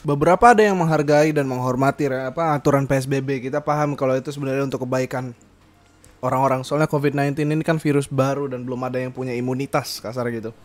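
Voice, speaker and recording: A man's voice: mid-range voice, steady tone, quick pace. A young man hosting a casual podcast, rambling with many fillers and self-corrections and laughing often. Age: young adult. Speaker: podcast host. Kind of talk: casual solo podcast chat.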